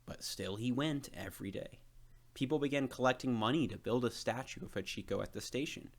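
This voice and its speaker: A man's voice: medium pitch, a little fast. A man reading a children's storybook aloud as narrator. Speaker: storybook reader.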